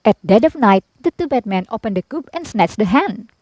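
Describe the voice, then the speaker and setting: Woman narrating a kids picture book, very animated pitch, dry close recording. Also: brisk, no echo. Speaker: storybook reader. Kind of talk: expressive children's storybook narration.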